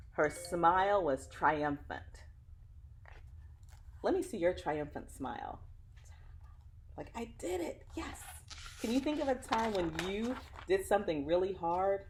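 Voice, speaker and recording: Expressive woman reading aloud, low-pitched, lively range. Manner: expressive, dramatic phrasing, engages young listeners with questions. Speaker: teacher reading a picture book aloud to children. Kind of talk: children's read-aloud storytelling with asides to listeners.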